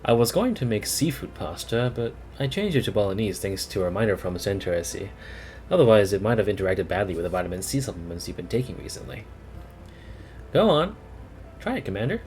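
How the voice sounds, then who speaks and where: A man voicing a brash, excitable young woman cook who is bossy and playful, in a game story. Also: on the low side, a little fast, a little hiss or hum. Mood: cheerful, teasing.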